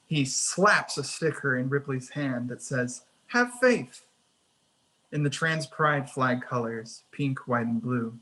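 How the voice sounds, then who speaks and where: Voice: mid-range voice, expressive, takes it slowly, fairly bright tone, poor audio. A narrator reading screenplay action lines and scene headings in a scripted table read.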